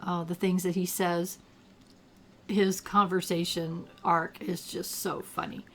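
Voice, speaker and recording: An older retired woman with a gravelly, allergy-roughened voice, chatting casually while she plays a game and thinks aloud. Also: fairly low pitch, level tone, takes it slowly, a bit bright, slight background noise. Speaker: gameplay commentator. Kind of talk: casual gameplay commentary.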